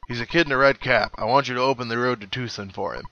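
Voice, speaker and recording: A man voicing a blustering, bossy small-town police captain from a video game, gruff and commanding as he reads the dialogue aloud. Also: medium pitch, fast, pushed and bright, muffled recording. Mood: brisk, self-important.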